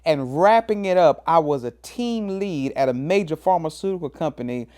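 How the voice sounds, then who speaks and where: A man's voice: lively intonation, normal speed, mellow. A man of fifty, a pastor hosting his own motivational talk, emphatic and preacherly. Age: 50s. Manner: preacherly, emphatic, swings pitch up and down for emphasis, says "man" often. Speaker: pastor and show host.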